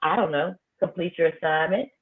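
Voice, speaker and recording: A woman high school principal, talking firmly and emphatically in a casual interview. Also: brisk. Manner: emphatic, firm, repeats phrases for effect. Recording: tinny phone-like line.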